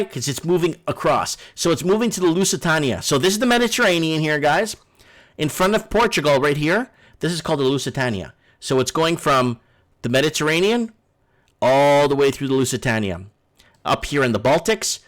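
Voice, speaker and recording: Higher-voiced rambling man hosting a livestream. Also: fairly bright tone. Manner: rambling, repetitive, addresses viewers as guys. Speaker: livestream host. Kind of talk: casual live call-in chat.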